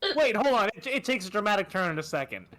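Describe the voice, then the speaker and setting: Comedic fan fiction reader, mid-pitched and forceful, highly animated and theatrical, quick to crack up. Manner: theatrical, amused, often breaking into laughter. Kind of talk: comedic reading aloud of fan fiction with asides.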